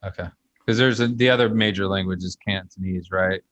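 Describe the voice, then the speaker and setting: A man's voice: sits low, steady tone, brisk, fairly bright tone, no echo. A man hosting a casual conversation, telling stories in a chatty way with fillers.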